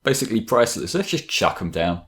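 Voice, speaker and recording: A man's voice: lowish voice, big pitch swings, fast, fairly bright tone. A man co-commentating a game casually, with a joking, wry manner and some swearing. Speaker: let's play co-commentator. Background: game music.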